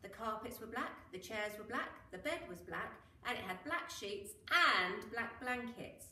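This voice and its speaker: A woman reading a children's story aloud in a dramatic, expressive way, with drawn-out emphasis on key words. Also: a little high. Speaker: school teacher reading to children. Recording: roomy, echoing, noisy, distant mic.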